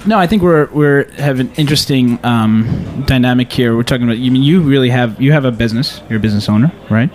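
A man's voice: medium pitch, quick pace, hardly any pauses, a little mellow. A man hosting a casual radio talk show with joking, rambling banter.

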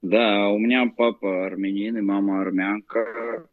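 Man, forties, from Azerbaijan, interview guest, hesitant, fillers, low pitch, dull lo-fi sound. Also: steady tone, dry recording. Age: 40s. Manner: hesitant, halting with many fillers. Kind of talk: casual interview.

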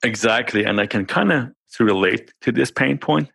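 A man's voice: medium pitch, normal speed, creaky, fairly bright tone, breathy edge, dry recording. A man speaking English with a non-native accent, a startup founder explaining his technology in conversational interview answers, often saying "basically" and "right".

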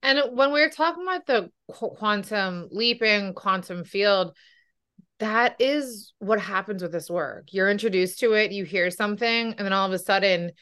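A woman's voice: mid-range voice, moderate pace, sharp tone, smooth. A woman hosting a podcast as a weight loss coach, talking with enthusiasm and often tagging her points with "right?". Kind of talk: podcast interview.

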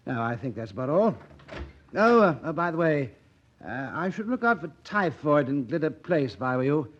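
An actor playing a cynical, hard-drinking, witty doctor in a vintage radio play, with a breezy, ironic, theatrical delivery. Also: male voice, on the high side, sing-song, moderate pace, a little hiss or hum. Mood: breezy, sardonic. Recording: dull old radio broadcast recording.

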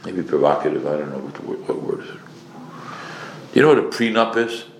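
A man's voice: a bass voice, lively intonation, fairly quick, a little hiss or hum. Emphatic man preaching in an echoey room. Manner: emphatic, rhetorical questions, repeats phrases for effect; mixes in Hebrew and Yiddish terms. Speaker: rabbi giving a Torah lecture. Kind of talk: sermon to a live audience.

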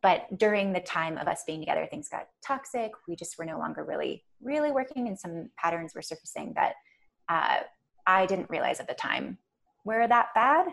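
Soft-spoken woman with gravelly fry. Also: lowish voice, big pitch swings, normal speed. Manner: soft-spoken, rambling, many fillers. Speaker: podcast guest. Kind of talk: casual chat.

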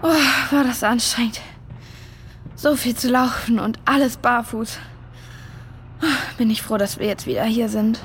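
A woman's voice: a little high, steady tone, unhurried, long pauses, slight background noise, lightly acted. A woman playing a caring, brave young girl in a children's audio drama. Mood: relieved.

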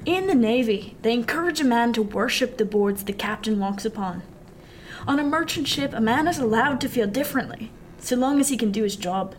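A woman acts out a seasoned merchant sailor man for a radio play. The voice sits fairly high, wry and confiding, full of old-fashioned nautical turns of phrase. There is clear background noise around it. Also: expressive, brisk, lightly acted, poor audio. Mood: wry, explaining. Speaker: actor. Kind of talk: radio drama acting.

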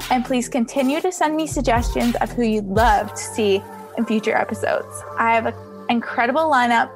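A young woman from Toronto, hosting a podcast in a chatty, casual way, often saying "like" and "um.". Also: a little high. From Toronto, Canada. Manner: chatty, frequent "like" and "um", laughs easily. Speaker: podcast host. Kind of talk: casual interview chat.

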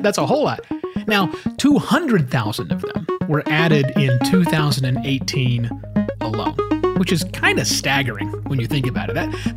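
A man speaking as an expert, explaining casually and emphatically, repeating words for emphasis. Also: on the high side. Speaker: podcasting expert.

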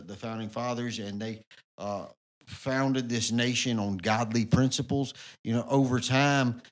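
Rambling man, mid-pitched, casual. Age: 40s. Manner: rambling, fillers like "you know". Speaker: podcast co-host. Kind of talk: casual church podcast talk.

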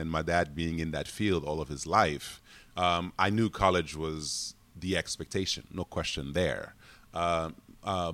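A man talks in a deep, low voice. He tells his stories with animation and a ready laugh. The sound is close and dry, with no room around it. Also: dry recording. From Congo. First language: French. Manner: animated, laughs often while telling stories. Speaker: guest. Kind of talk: casual interview storytelling.

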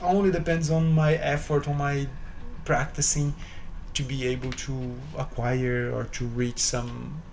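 A man's voice: mid-range voice, flat delivery, a little slow, a little mellow, a little hiss or hum. A man from Brazil with a Brazilian accent, speaking earnestly as a guest in a casual interview and searching for his words. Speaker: guest pianist.

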